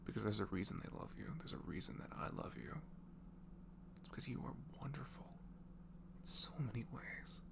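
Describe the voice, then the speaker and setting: A young man softly leaving an intimate, improvised comfort message in ASMR style, tender and reassuring, murmuring and often slipping into a breathy whisper. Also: fairly low pitch, fairly quick, long pauses. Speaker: amateur audio performer playing a comforting friend. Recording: clearly noisy home recording with hum.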